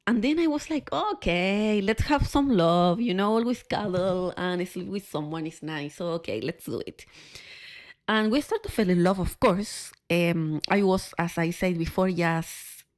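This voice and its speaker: A woman from Chile with a Chilean Spanish accent tells personal stories to camera in an expressive, candid way, often repeating words for emphasis. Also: on the low side, a little slow. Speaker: podcast host.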